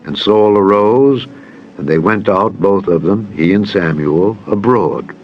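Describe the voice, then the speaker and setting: A man reading dramatized Bible narration in a solemn, measured storytelling manner. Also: on the low side. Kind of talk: dramatized scripted scripture narration. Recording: dull lo-fi audio over music. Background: music.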